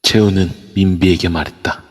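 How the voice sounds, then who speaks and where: A man narrates a spoken drama in a steady, storytelling way. His voice is very deep and husky. It carries long reverb through a phone voice chat. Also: normal speed. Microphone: phone in an online voice room.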